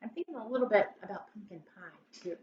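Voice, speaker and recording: A woman's voice: medium pitch, lively intonation, quick pace. A woman reading a children's story aloud like a grade school teacher, with theatrical, emphatic delivery. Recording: roomy echo, dull sound, faint noise.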